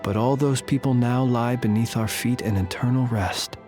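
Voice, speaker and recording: An actor playing a formal, measured male guardian of a cemetery in a video game. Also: mid-range voice, over music, close to an everyday voice. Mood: calm. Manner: formal, measured, old-fashioned phrasing. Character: courtly male graveyard guardian, calm and formal. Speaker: guardian of the tombs.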